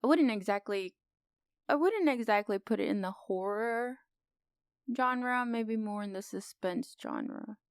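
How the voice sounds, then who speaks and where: Female co-host, enthusiastic and teasing, touch of vocal fry, dry close mic. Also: mid-range voice, wildly swooping pitch, a little slow, no room sound. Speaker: podcast co-host. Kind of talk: casual film discussion.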